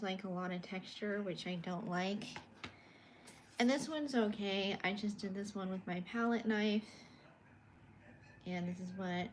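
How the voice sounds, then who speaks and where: Young woman, from California, artist on a livestream, soft-spoken, a bit awkward, slow-paced, faint background noise. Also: mid-range voice. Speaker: artist showing her paintings on a livestream. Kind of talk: casual livestream chat, answering viewer comments. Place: her bedroom, indoors.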